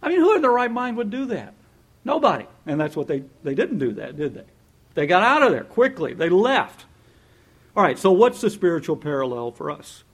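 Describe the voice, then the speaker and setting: A man preaching a sermon in a lively, conversational way, often laughing and acting out what people might say. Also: high-pitched, normal speed, husky. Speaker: pastor.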